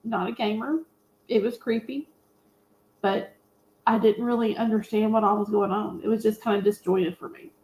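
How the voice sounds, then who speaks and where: A woman's voice: medium pitch, steady tone, normal speed, darkish tone. A woman chatting casually as a panelist giving her take on films. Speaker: podcast panelist discussing films. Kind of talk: casual chat. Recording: roomy echo with clear background noise.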